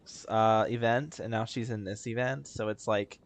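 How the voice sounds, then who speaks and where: A man's voice: on the low side, fairly even intonation, normal speed. A man casually commenting over a video game he is playing, bored and sarcastic, dismissive of what is on screen. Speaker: gaming streamer. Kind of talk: casual let's-play commentary.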